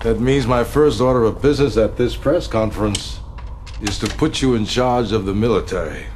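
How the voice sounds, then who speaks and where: A man's voice: lowish voice, moderate pace, a little mellow, a little hiss or hum, natural voice. A man playing an ambitious, scheming political director in a game cutscene, persuasive and self-assured with dramatic emphasis. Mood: smug. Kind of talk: video game cutscene acting.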